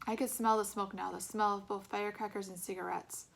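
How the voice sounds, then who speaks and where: A woman storyteller reads a book aloud in a medium-pitched voice. Her narration is expressive and follows the story phrase by phrase. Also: fairly quick, creaky.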